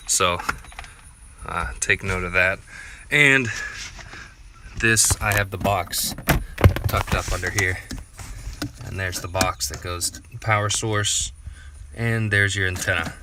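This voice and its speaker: A man's voice: on the low side, background noise. A man giving a casual, unscripted how-to walkthrough in a plainspoken, laid-back way, as a vlogger would. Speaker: vlogger presenting a DIY gear tutorial.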